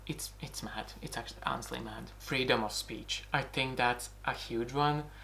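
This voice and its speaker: A man's voice: medium pitch, fairly bright tone, slight background noise. A man from Latvia with a Latvian accent, talking earnestly and indignantly in an opinion monologue to camera. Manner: earnest, indignant, repeats "crazy" and "mad". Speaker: video blogger.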